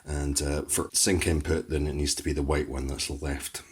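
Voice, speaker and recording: A man demonstrates music gear in a tutorial style. His voice is extremely deep with a husky edge. He explains things step by step in a plain, matter-of-fact way. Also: level tone, normal speed.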